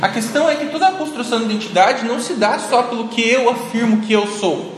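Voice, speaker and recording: Male lecturer, high-pitched, keeps checking in with "right?"; roomy hall sound. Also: fast talker. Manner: frequent "né?" tag questions, thinking aloud. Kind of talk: classroom lecture. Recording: echoey hall, noisy ambience.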